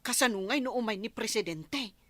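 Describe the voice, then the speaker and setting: A woman's voice: a little high, expressive, a little fast, bright, forceful tone, no room sound. A woman acting a gossipy, teasing village neighbor in an Ilocano radio comedy drama, with an Ilocano accent. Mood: curious, amused.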